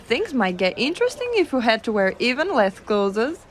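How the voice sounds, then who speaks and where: A woman playing a gentle, teasing giantess secretary in an ASMR roleplay, coaxing and cooing softly. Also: fairly high pitch, big pitch swings, slight background noise. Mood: doting, teasing. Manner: soft coaxing, cooing, unhurried.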